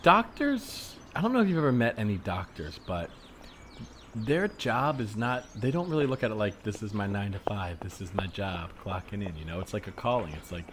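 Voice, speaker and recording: Guy improvising an earnest hospital worker, lowish voice, kinda halting and mild, bit of outdoor noise. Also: lively intonation, close to an everyday voice. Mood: earnest, defensive. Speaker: improv comedian on a podcast. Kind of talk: improvised comedy scene, naturalistic casual chat. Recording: background noise of outdoor soundscape. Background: added outdoor ambience soundscape.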